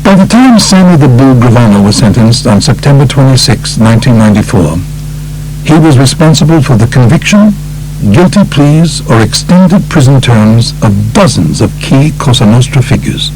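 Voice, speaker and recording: A man's voice: medium pitch, big pitch swings, moderate pace, a little mellow, soft background music, rough recording. A man narrating a true-crime book from a script. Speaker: audiobook narrator.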